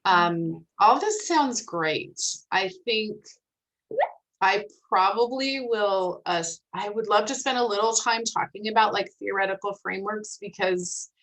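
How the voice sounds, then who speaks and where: A woman's voice: mid-range voice, unhurried, a bit bright, poor audio. A woman hosting an online group discussion in an encouraging, explanatory way, talking casually like an academic. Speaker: host of a phonetics club meeting, academic.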